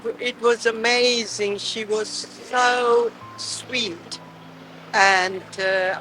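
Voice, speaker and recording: A man from Uganda, reminiscing warmly in a street interview, at times halting over his words. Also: high-pitched, poor audio. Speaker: member of the public.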